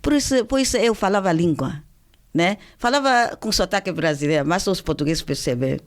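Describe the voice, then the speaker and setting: A woman's voice: fairly low pitch, expressive, fairly quick. An older woman from China with a Chinese accent, answering interview questions in a casual, hesitant way with many fillers and restarts. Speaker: guest author.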